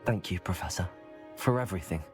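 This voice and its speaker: An actor playing a polite, serious teenage student hero in a video game drama, restrained and respectful in manner. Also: male voice, deep voice, fairly quick, lightly acted. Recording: reverberant hall, faint music.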